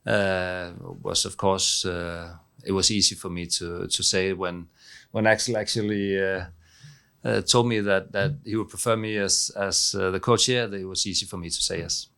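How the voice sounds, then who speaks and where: A middle-aged man with a Danish accent, a head coach answering press questions, halting with many fillers and restarts. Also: low voice, steady tone, gravelly, a bit bright, smooth. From Denmark.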